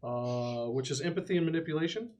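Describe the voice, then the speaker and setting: A man's voice: a little high, fairly quick, a little mellow, slight background noise. A man playing a tabletop game, talking casually with the others at the table.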